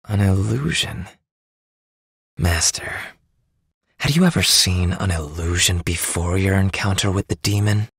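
A youthful, composed royal in a fantasy game. The voice is breathy and regal, each line slow and deliberate, recorded dry. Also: female voice, very deep voice, no echo, exaggerated voice. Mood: probing, calm. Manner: earnest, deliberate. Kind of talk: dramatic game voice acting.

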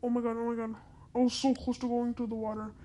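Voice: mid-range voice, flat delivery, quick pace, mellow, dull audio. A female-sounding voice through a voice changer, giving casual gameplay commentary in an exclamatory, incredulous, slangy and repetitive way. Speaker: gaming video host.

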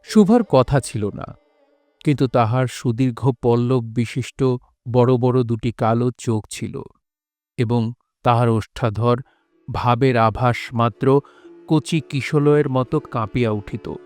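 A man narrating a literary story in a formal, measured, solemn style. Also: mid-range voice, darkish tone, no echo, soft background music, lightly acted. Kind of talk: scripted literary narration in old formal Bengali.

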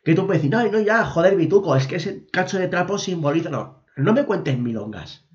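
Hoarse Spaniard, blunt commentator, muffled lo-fi home recording. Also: male voice, high voice, expressive, fairly quick. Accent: Spanish from Spain. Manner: blunt, rambling, informal. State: hoarse from a cold. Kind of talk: casual reaction commentary. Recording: dull lo-fi sound.

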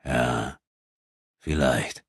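A man voicing a worried, puzzled adventurer in an audiobook, speaking gravely and with some hesitation. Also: very slow, no room sound, studio quality, full character voice. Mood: doubtful. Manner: hesitant, low-key, grave. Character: worried, puzzled male adventurer companion, serious. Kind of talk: audiobook character dialogue.

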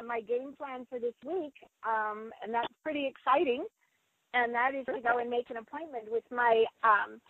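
A high-voiced woman calls in and chats in an upbeat way, full of ums and uhs. Her voice is breathy and comes over a thin phone line with a dry sound. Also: dry recording. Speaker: caller. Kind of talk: casual chat.

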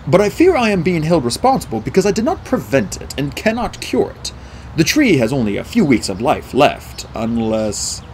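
Man voicing a measured, dignified middle-aged centaur mentor. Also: a little high, huge pitch swings, a little mellow, slight background noise. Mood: somber. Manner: measured, formal, deliberate. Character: wise, kindly middle-aged male centaur teacher, grave and dignified. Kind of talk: audiobook character dialogue.

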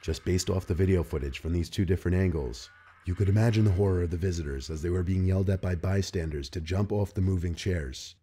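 A man reading scripted narration in a measured, serious manner. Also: sits low, warm tone, breathy edge. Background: music.

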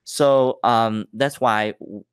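A man's voice: mid-range voice, fairly even intonation, slow, clean tone, no echo, studio quality. A man giving a technical conference talk over slides, explaining step by step with many fillers and restarts. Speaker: tech presenter.

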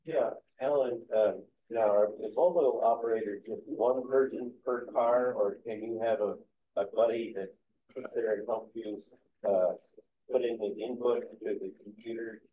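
A man's voice: on the low side, fairly even intonation, normal speed, a little hiss or hum. A man in an audience asking casual questions over a tinny, phone-like line.

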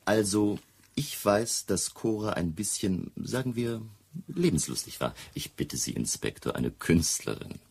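Radio play casting: touchy gentleman witness, creaky, badly hoarse-edged bass, emphatic and defensive. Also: expressive, a little slow, full character voice. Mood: defensive, indignant. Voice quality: deep, gravelly. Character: touchy, indignant gentleman friend of a missing singer. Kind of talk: German radio play acting.

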